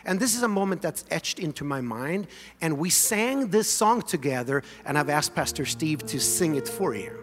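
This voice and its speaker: A man from Sweden, a native Swedish speaker, telling a story in a conversational, animated sermon to his church. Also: on the high side, moderate pace, in a large hall, soft background music. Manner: animated, conversational, leads congregation in song. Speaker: pastor. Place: large church sanctuary.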